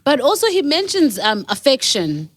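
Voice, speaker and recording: A woman on a podcast panel, reasoning things through in a measured way with hesitant restarts. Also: sits high, big pitch swings, no echo, studio quality. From South Africa. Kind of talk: casual chat.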